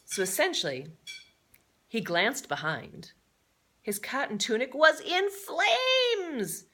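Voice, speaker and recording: A woman's voice: sits high, big pitch swings, takes it slowly, pushed and bright. A woman reading a children's story aloud in a theatrical way, adding chatty, playful asides. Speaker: storybook reader.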